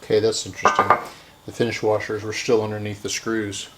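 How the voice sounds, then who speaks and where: A man giving casual, unscripted narration of hands-on repair work, halting and thinking aloud as he goes. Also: on the low side, monotone, loud music behind the voice, rough recording. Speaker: repair video host.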